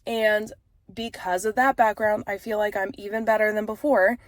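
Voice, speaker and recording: A woman's voice: mid-range voice, fairly even intonation, moderate pace, no room sound, studio quality. A woman in her thirties with a stuffy, congested voice, talking frankly and casually to camera with frequent fillers. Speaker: vlogger and author.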